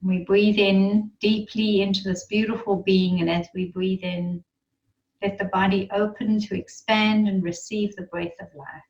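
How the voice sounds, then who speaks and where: A woman leading a guided meditation in a soothing, unhurried manner, with gentle instructions and pauses. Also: mid-range voice, fairly even intonation, distant mic, muffled recording.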